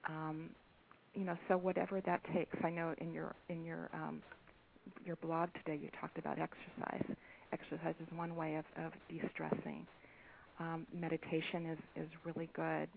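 A soft-spoken woman, an author, being interviewed over the phone, speaking hesitantly with many pauses and ums. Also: low-pitched, little pitch movement, creaky. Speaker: author and guest. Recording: tinny phone line with faint hiss.

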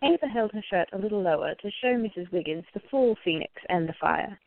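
A woman's voice: mid-range voice, animated, brisk, no echo, narrow, tinny audio. A woman reading scripted narration for a radio play.

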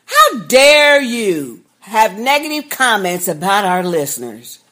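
A woman co-hosts a podcast, talking slowly but with a lively, swooping pitch. She reacts emphatically, laughs often and drawls her opinions. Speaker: podcast co-host. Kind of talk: casual chat.

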